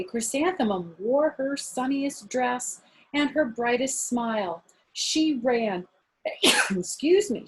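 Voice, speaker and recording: Slow-paced older woman reading a storybook. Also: sits high, rough recording. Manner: explains words in gentle asides to young listeners. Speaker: storyteller grandmother. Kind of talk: expressive children's picture book read-aloud.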